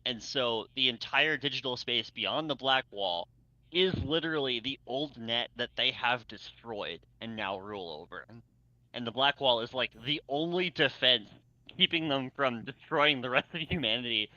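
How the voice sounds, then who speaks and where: Guy rambling excitedly in a group chat, really bright forceful voice, roomy slightly muffled mic. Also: medium pitch, normal speed. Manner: rambling, stammering, excitable, laughs often. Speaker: co-host of a fan fiction readalong. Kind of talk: casual enthusiastic group chat.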